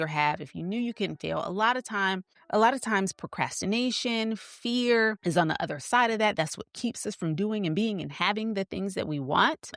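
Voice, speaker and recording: A woman's voice: lowish voice, fairly bright tone, no room sound, studio quality. A woman in her fifties hosting a motivational podcast, upbeat and coaching, speaking straight to her listeners in a friendly, familiar way. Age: 50s. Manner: upbeat, coaching, talks straight to listeners with "sis", "honey", "y'all". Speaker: podcast host.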